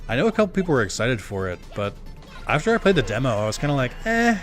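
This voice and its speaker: A young man streaming a game live, chatting loosely with many fillers while his voice starts to go hoarse. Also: mid-range voice, very animated, fast, soft background music. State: voice going hoarse. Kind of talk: casual live-stream chat while gaming.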